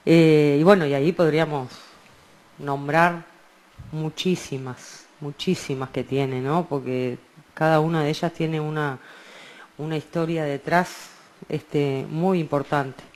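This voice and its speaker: A woman co-hosting a radio show, talking about music in a casual, halting, hesitant way. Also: deep voice, fairly even intonation, darkish tone, poor audio. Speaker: radio co-host.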